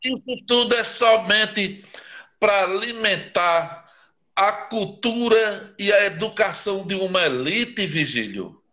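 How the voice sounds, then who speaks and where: A man with a Northeastern Brazilian accent speaks in a high, bright voice, with a slow and deliberate pace. He is a guest in a live interview over a video stream. He talks with passion and heavy emphasis and asks pointed rhetorical questions. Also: expressive. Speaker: guest writer.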